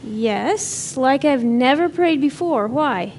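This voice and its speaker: Woman acting as caring self-doubting aunt, fairly high voice, natural drama delivery, bit of background noise. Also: expressive, slow pace, darkish tone. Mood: surprised, tender. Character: earnest, caring aunt who doubts herself.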